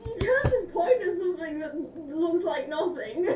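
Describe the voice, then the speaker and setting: A woman's voice: very high voice, sing-song, moderate pace, darkish tone. A woman chatting casually and teasing playfully during an online game. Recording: echoey, distant, noisy livestream mic.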